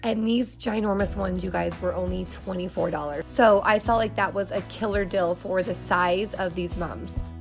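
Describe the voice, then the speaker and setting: A woman in her thirties talks in a friendly, chatty way, with fillers like "um" and "like". Her voice is low, gravelly and nasal from weeks of illness, and it sounds like it is going out. Faint music plays under her voice-over. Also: fairly even intonation, moderate pace, few pauses. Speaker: home decor vlogger. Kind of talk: casual voice-over narration.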